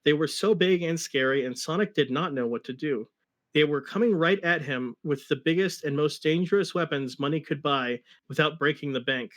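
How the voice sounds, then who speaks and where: A man's voice: a little high, moderate pace, a bit bright. A man giving a comic dramatic reading of a fan fiction story, with wry asides.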